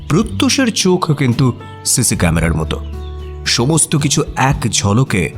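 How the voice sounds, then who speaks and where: The detective's companion telling the case as a story. His mid-pitched voice rises and falls expressively in polished radio-drama narration. Faint music runs underneath. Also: wildly swooping pitch, a little slow. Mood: atmospheric, descriptive.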